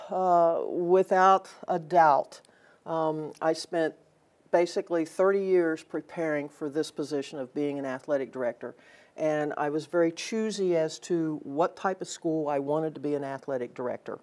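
An older woman speaks in a notably low register as a guest on a local TV studio interview. She talks earnestly and at length, often pausing on fillers and restarting her sentences. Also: a little mellow. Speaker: athletic director, guest. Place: TV studio set.

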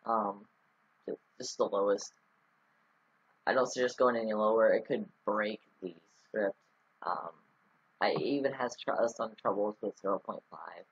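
A man's voice: on the low side, moderate pace, pauses often, breathy edge, dry recording, lo-fi sound. A man talking through a casual screen-recorded coding tutorial, rambling with many ums and restarts. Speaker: scripting tutorial host.

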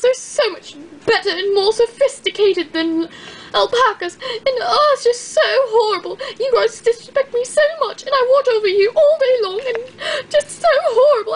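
A woman's voice: very high voice, poor audio. A woman voicing a fussy, proud female llama who complains in a weepy, indignant way during toy animal role-play.

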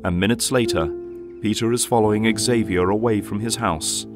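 A man's voice: on the low side, moderate pace, music in the background. A man reading scripted dramatic narration as the storyteller of an audio drama.

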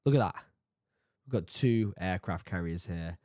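A man's voice: sits low, moderate pace, mellow, no echo. Sick man reacting on a studio mic. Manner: chatty, jokey, laughs at himself. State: sore throat, sick. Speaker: reaction video host. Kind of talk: casual reaction commentary. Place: home room with bookshelves. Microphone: studio mic on boom arm, headphones.

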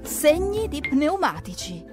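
A woman narrating a cartoon for children in an expressive, exclamatory storytelling manner. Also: sits high, slow, over music.

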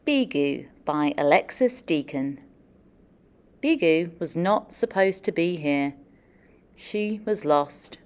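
Female children's storyteller, thirties: animated, slow and deliberate, encouraging tone. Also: fairly low pitch. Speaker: teacher or librarian reading to children. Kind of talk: expressive children's storytelling and classroom questioning.

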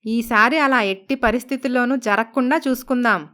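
A woman's voice: a little high, fairly quick, bright, forceful tone. A woman voicing a polite, coaxing young daughter-in-law with a scheming streak in a cartoon moral story. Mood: scheming, reassuring. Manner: respectful, coaxing. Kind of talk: Telugu animated moral story voice acting.

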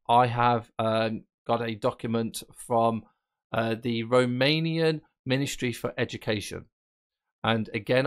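A man presenting a screen-recorded software tutorial, explaining casually and thinking aloud with fillers. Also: medium pitch. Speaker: tech presenter.

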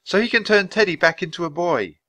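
A man's voice: high-pitched, expressive, fairly quick, bright, forceful tone, voice pushed up. A man voicing a spirited, eager young girl in a storybook, earnest and bold. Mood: urgent.

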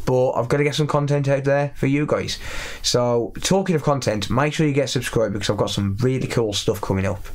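Young man, slightly raspy, chatty repair vlog. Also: mid-range voice. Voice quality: slightly raspy voice after illness. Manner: chatty, self-deprecating, thinks aloud while working. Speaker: electronics repair YouTuber. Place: cluttered repair workshop, indoors.

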